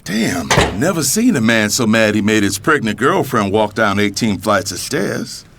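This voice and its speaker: A man's voice: fairly low pitch, big pitch swings, normal speed, creaky, a bit bright, heavily acted. A man playing a wry, wisecracking onlooker in an audio drama, talking in slang. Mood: amused. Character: wisecracking onlooker in a family clash.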